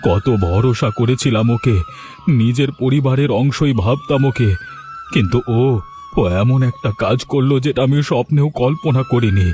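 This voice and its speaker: A man voicing a betrayed, weary old village gentleman in a cartoon, speaking in a plaintive, polite way. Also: mid-range voice, normal speed, faint music behind, close to an everyday voice. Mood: grieving, weary. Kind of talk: dramatized Bengali moral-story cartoon dub.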